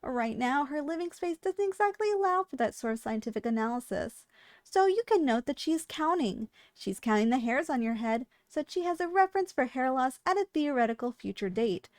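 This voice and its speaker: Woman acting as high-voiced, polite female shop clerk. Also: animated, fairly quick, no echo. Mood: brisk, professional. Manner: formal, courteous salesperson patter with dry understatement. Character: polite, businesslike female shop clerk selling dangerous yandere girls. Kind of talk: scripted ASMR roleplay addressed to the listener.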